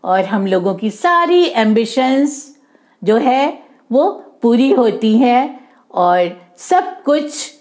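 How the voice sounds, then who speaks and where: Very slow, lively woman storyteller. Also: on the high side. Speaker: storyteller for children. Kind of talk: informal moral storytelling to children.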